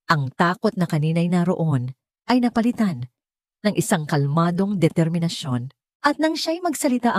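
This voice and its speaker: A Filipino woman narrates a scripted drama in a fairly low voice. The sound is dry, with little room around it. She reads as an audiobook storyteller with a Filipino accent. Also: darkish tone, dry recording.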